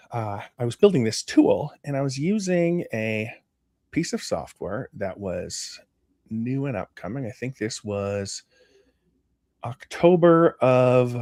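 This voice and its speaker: A man from Canada speaking as an interview guest, casual and halting, often restarting his phrases. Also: medium pitch, darkish tone, dry recording, clean studio sound. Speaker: interview guest, community manager.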